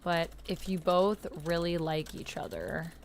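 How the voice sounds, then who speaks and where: A woman's voice: fairly low pitch, fairly even intonation, a little slow, slight background noise. A woman hosting a livestream, chatting informally, thinking aloud and reading on-screen text. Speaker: livestream host and player.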